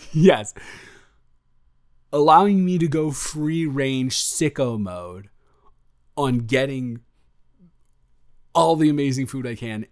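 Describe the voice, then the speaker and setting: Man playing an excitable, affectionate young goofy boyfriend. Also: mid-range voice. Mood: excited, adoring. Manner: effusive, rambling, stumbling over words, playful slang. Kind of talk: improvised boyfriend roleplay audio, casual intimate chat.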